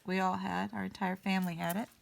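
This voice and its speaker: A woman hosting a casual coloring session, a little raspy as she gets over an illness, thinking aloud in an unhurried way with many ums. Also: mid-range voice, little pitch movement. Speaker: artist and channel host. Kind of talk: casual color-along chat.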